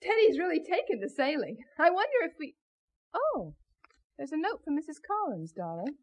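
A woman playing an exasperated, brisk wife and mother in a 1970s radio drama. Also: sits high, big pitch swings. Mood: lighter, chatty.

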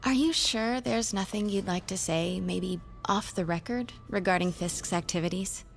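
An actress playing a plucky, crafty young American reporter in a video game, talking in a brisk, persuasive way. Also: female voice, medium pitch, vocal fry, soft background music, lightly acted. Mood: slyly persuasive. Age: young adult.

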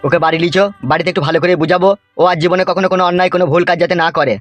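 Man as fast, high-pitched peacemaking village uncle. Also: steady tone, fairly bright tone, no room sound. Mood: coaxing. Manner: coaxing, advising. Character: peacemaking older village uncle, henpecked husband. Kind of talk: comic cartoon dialogue. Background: faint music.

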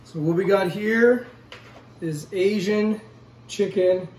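A man's voice: sits high, slow, darkish tone. A man in his forties giving a casual, upbeat cooking demonstration to camera in a home kitchen, addressing viewers as guys. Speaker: cooking show host. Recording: roomy, distant mic, faint kitchen noise.

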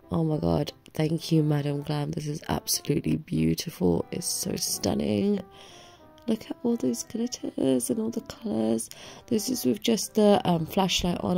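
A woman's voice: deep voice, gravelly, dark tone. A young woman with a cold and a nasal, sore-throated voice, gushing enthusiastically through a nail product voiceover. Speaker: nail vlogger.

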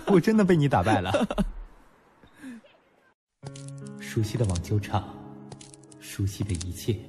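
Man acting a low-voiced, expressive young student. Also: slow pace, pauses often, full character voice. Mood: playful, laughing, then nostalgic. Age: young adult. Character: young male student, nostalgic, proud, teasing. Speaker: lead actor and first-person narrator. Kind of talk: audio drama acting with reflective narration. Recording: music behind the voice.

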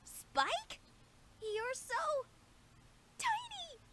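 A woman's voice: very high voice, sing-song, very slow, background noise, heavily acted. A woman playing a young female unicorn pony in a cartoon, bewildered and earnest, stammering with emphasis. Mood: confused. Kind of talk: animated fan-series dialogue.

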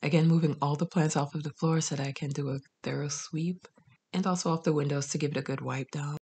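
A woman in her thirties narrating her home cleaning vlog in a candid, chatty way, trailing into soft asides. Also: low-pitched, steady tone, quick pace, husky. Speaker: cleaning vlogger. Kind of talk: casual voice-over narration.

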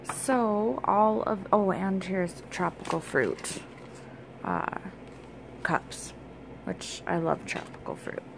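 A woman's voice: medium pitch, expressive, slow, vocal fry, background noise. A woman vlogger chatting casually at home, listing groceries with lots of fillers and filming on a handheld phone. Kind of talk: casual grocery haul show-and-tell. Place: home kitchen and dining room, indoors.

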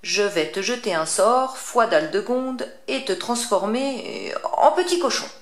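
A woman voicing a cackling old witch who speaks in a theatrical, drawn-out way, in an echoey room. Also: on the high side, lively intonation, pitched above the performer's own voice. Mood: menacing.

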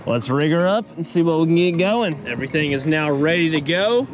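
A man narrating his own fishing challenge from a small boat on a lake, excitable and quick to holler and laugh. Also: a little high, background noise. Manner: excitable, hollers and laughs when a fish bites. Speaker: fishing youtuber. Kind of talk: energetic fishing vlog narration.

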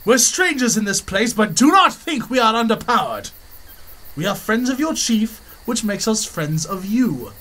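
An actor playing a boastful adventurer hero in a radio serial, bold and commanding, with noise in the background. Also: male voice, high voice, sing-song, normal speed, fairly bright tone, exaggerated voice. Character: boastful male adventurer, brash sharpshooter hero. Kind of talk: 1930s radio serial acting.

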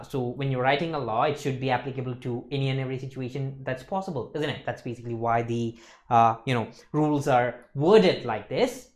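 A young man in his twenties giving an exam revision lecture as an instructor, explaining emphatically and often checking that listeners follow. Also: medium pitch. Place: indoor lecture studio with slides.

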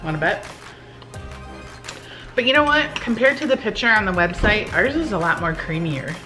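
A woman's voice: mid-range voice, lively intonation, moderate pace, music in the background. A woman in her forties chatting informally and giving recipe instructions in a home kitchen, with a teasing, casual manner. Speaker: home cooking vlog host.

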